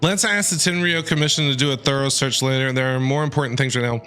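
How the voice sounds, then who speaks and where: A man's voice: medium pitch, fast, clean tone. A man streaming a game, reading dialogue aloud and reacting expressively. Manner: expressive, animated. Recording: game music under the voice. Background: game music.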